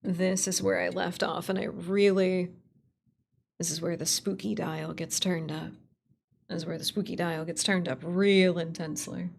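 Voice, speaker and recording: A woman livestreamer with a creaky voice talks through her work. She is casual and playful, rambling as she thinks aloud. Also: fairly low pitch, little pitch movement, moderate pace, a little mellow, slight background noise. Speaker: streamer, writer and voice actor.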